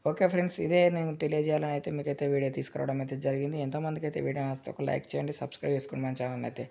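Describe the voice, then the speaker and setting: Rapid-talking gaming tutorial host. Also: male voice, fairly high pitch, fairly even intonation, creaky, mellow. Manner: rapid, repetitive filler-heavy explaining. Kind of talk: screen-recorded game tutorial.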